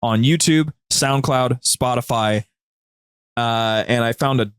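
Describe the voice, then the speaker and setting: A man's voice: mid-range voice, takes it slowly, clean tone, no room sound, studio quality. A man talking casually as a podcast guest, explaining things in an enthusiastic storytelling way. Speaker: podcast guest and co-host of a media group's show. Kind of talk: casual interview talk.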